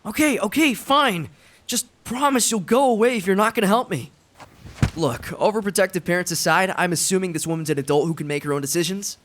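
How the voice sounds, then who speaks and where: A man's voice: high voice, animated, a little fast, fairly bright tone. A man playing an anxious, exasperated teenage schoolboy in a fan-dubbed drama, nervy and hesitant. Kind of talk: animated fandub drama acting.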